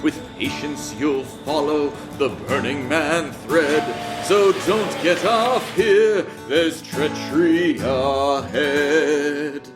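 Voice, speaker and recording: An actor playing a pompous former bard turned train conductor who speaks in grandiose rhyming couplets. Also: male voice, on the high side, extremely slow, few pauses. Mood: theatrical. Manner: grandiose, theatrical. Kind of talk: comedy audio drama acting. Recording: roomy echo with loud music.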